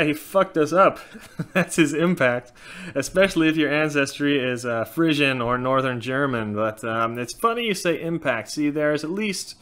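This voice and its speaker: A man in his thirties with a light Norwegian accent answers viewer questions in a casual, outspoken way. His voice is bright and crisp. He sits close to a webcam in a small indoor room. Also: on the high side. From Norway. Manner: frank, animated, gestures a lot. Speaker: channel host. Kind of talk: casual Q&A chat.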